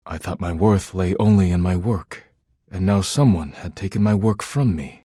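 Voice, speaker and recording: Deep, husky, solemn game artisan. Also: male voice, dark tone, no room sound, studio quality, exaggerated voice. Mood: reserved, reflective. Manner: formal, measured, poetic, earnest. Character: solemn, formal, romantic male artisan devoted to dishware. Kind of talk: video game character dialogue.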